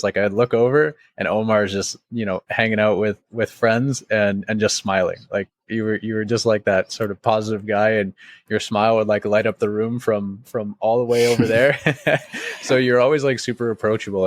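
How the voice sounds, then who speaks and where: A man's voice: lowish voice, no room sound. A young man hosting a podcast interview in a casual, chatty way, with informal phrasing and lots of "like". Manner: chatty, informal, peppers talk with "like". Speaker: podcast host.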